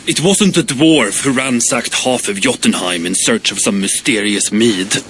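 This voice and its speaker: An actor playing a blunt, grumbling, proud dwarf craftsman in a video game cutscene, gruff and grudging in manner. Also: mid-range voice, a little slow, fairly bright tone, a little hiss or hum, close to an everyday voice. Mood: grumpy.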